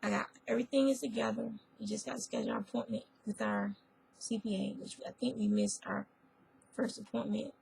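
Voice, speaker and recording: A woman with a voice worn hoarse by a cold, chatting casually about her crafts. Her tone is raspy and gravelly throughout. She rambles and circles back to correct herself. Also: medium pitch, fairly even intonation, a little fast, darkish tone, rough recording. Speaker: fiber arts vlogger. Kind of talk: casual chat.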